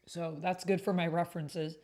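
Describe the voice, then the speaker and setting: A woman with a slightly low voice hosts a casual livestream. She moves between reading messages and prompts aloud and commenting on them in a chatty, conversational way. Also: a little mellow. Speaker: livestream host and tabletop roleplay player.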